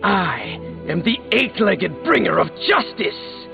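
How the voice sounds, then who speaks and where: A man's voice: high-pitched, wildly swooping pitch, slow pace, creaky, heavily acted. A man voicing an awkward, eager young spider superhero in a video game, boyish and easily flustered. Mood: mock-heroic. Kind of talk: scripted video game dialogue. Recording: muffled game audio with faint music.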